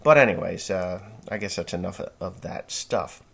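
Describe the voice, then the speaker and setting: A man's voice: deep voice, normal speed, gravelly, a bit bright, slightly rough. A man in his forties, from New Jersey, talking bluntly and folksily in a rambling monologue, with many fillers and restarts. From New Jersey, USA. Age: 40s. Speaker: podcast host.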